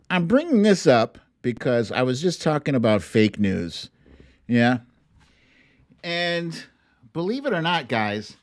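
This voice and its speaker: A man hosting an online show in a playful, animated, casual style with some swearing. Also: medium pitch. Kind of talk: casual livestream chat, comic picture-book reading and political commentary.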